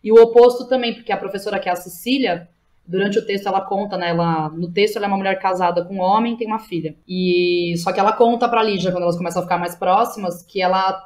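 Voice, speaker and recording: A woman with a São Paulo Brazilian accent speaking as a guest playwright, telling stories in a casual, slangy, animated way and often quoting herself. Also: mid-range voice. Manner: animated storytelling, slangy, quotes herself and others. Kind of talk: casual conversational interview.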